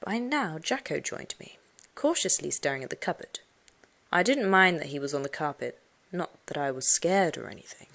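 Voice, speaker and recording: A woman reading a children's suspense story aloud, expressively, with dramatic hushed moments. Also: sits low, animated, normal speed, vocal fry. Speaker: audiobook narrator. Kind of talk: dramatic first-person storybook narration for children.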